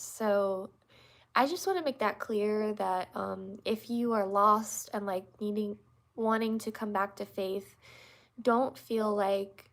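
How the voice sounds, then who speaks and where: Young woman, congested, unhurried, middle-pitched, rambling casual livestream talk. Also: gravelly, fairly bright tone. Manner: rambling, many restarts and fillers. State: congested from allergies. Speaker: livestream host answering viewers.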